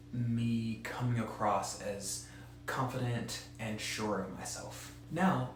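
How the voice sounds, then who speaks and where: A man's voice: lowish voice, heavy reverb, slight background noise. A man who stutters gives an earnest, direct instructional talk as a presenter for an advocacy group.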